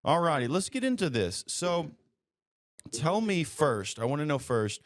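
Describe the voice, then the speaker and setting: A man's voice: a little high, big pitch swings, unhurried. A man hosting a podcast in an informal, conversational way, chiming in and echoing back what he hears. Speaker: podcast host. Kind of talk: casual interview talk.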